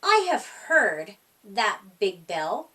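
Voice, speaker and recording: Woman as an earnest storybook frog, unhurried and lilting. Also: mid-range voice, big pitch swings, sharp tone, far from the mic, full character voice. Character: gentle, content frog, leader among woodland friends. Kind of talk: children's storybook character reading.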